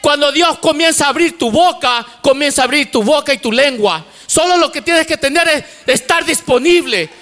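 High-voiced man preaching in a big hall. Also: moderate pace, few pauses, sharp tone. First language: English. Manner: fervent preaching with repetition, mixing in English words. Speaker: pastor. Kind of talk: sermon. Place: large church stage with lights. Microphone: handheld microphone. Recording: long hall reverb from church auditorium.

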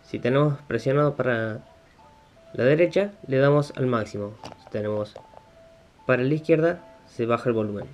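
A man's voice: medium pitch, normal speed, soft background music. Argentine man, muffled lo-fi tutorial. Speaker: motovlogger. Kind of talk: casual hands-on tutorial. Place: room at home, indoors. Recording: dull, lo-fi sound.

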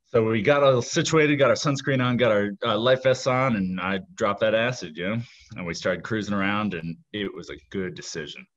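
A man's voice: lowish voice, normal speed, lo-fi sound. A man chatting casually as a guest, telling rambling stories full of fillers. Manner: laid-back, rambling with fillers. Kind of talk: casual storytelling chat.

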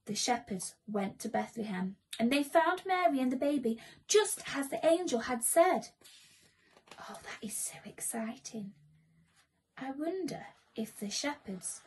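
A young woman performs as a children's storyteller. Her voice is high and very animated, yet unhurried, with a soft husky edge and theatrical asides to the listener. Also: poor audio. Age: young adult. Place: small room at home.

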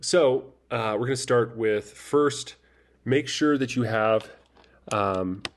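A man casually walking through a network setup tutorial, talking in a relaxed, halting way with many fillers and restarts. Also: medium pitch. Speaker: tutorial presenter. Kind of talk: casual screen-recorded tech tutorial. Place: voice-over over screen recording.

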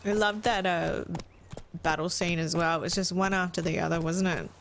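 A woman's voice: fairly low pitch, moderate pace, creaky, slight background noise. Chatty Australian woman streaming a game. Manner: chatty, excitable, swears casually. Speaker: gaming streamer. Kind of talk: casual let's-play chat.

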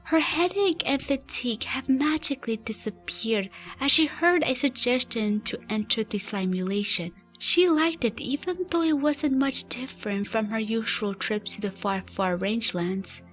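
High-voiced woman narrating a story. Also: expressive, normal speed, few pauses, fairly bright tone, soft background music.

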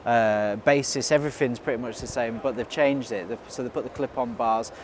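A man in his thirties chats with animated, effusive enthusiasm as a co-host. His voice is mid-pitched for a man. Faint background noise from the show hall is heard. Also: fairly quick. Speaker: co-presenter. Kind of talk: casual review chat. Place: indoor motorcycle exhibition hall.

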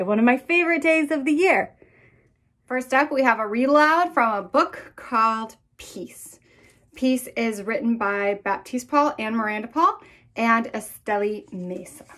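A woman in her thirties with a fairly high voice presents and reads picture books for children. Her delivery is expressive and addresses the young listeners directly, recorded at arm's length in a small room. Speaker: children's author reading aloud. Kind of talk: picture book read-aloud for children.

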